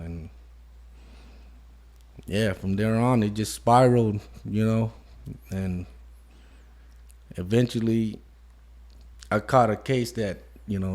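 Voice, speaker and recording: Soft-spoken reflective man. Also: fairly low pitch. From Modesto, California. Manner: soft-spoken, reflective, many "you know" fillers. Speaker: interview guest. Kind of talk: casual conversation.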